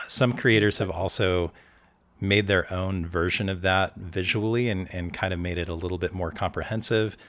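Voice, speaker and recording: A man with a very low voice hosts a casual interview. He explains features with clear enthusiasm and keeps the conversation moving. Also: fairly even intonation, normal speed, a bit bright, dry recording. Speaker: host.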